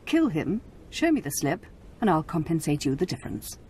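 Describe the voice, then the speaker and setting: Brief: a smug, cruel game noblewoman, slightly low voice, cold and mocking. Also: very animated, moderate pace, a little mellow, slight background noise. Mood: condescending. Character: scheming haughty noble lady, cruel and possessive. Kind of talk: video game cutscene acting.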